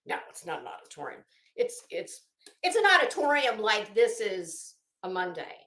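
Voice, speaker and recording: A woman hosting a livestream, rambling casually in an animated, theatrical, self-mocking way. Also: medium pitch, normal speed. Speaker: livestream host. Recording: echoey, roomy sound.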